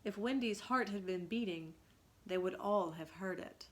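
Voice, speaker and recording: A woman's voice: mid-range voice, normal speed. A woman reading a children's story aloud dramatically, in a teacherly way that invites listeners to think.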